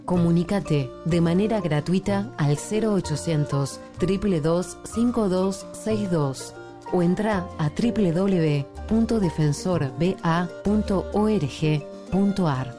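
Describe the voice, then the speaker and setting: A woman with a Rioplatense Spanish accent reading a scripted public service announcement in a clear, measured way. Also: fairly low pitch, over music. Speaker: ad voice-over.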